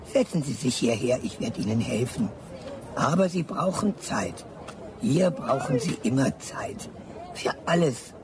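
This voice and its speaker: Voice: medium pitch, animated, unhurried, noisy background. An actor in a radio play voicing an old blind woman who sells charms at a market and beckons to customers. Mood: patient, advising. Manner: calling out wares, beckoning.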